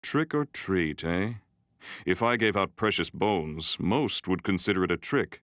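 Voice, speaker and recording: A man's voice: deep voice, lively intonation, a little slow, a bit bright, dry recording, clean studio sound. A man voicing a macabre, dryly self-aware male character in a fantasy game. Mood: wry.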